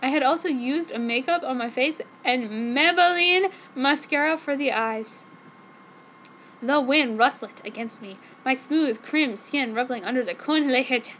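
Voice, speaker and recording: A woman's voice: sits high, a little slow, fairly bright tone, low-quality recording. A woman giving an exaggerated, mock-serious dramatic reading of a story as an amateur fanfiction reader. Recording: noticeable background noise.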